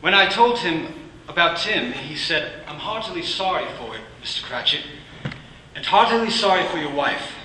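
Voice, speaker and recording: Grieving father in a radio play, bright tone, halting and soft, played by a man, heard from across an echoey room. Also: a little high, animated, a little hiss or hum. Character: grieving gentle clerk and father. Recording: roomy, distant from the mic.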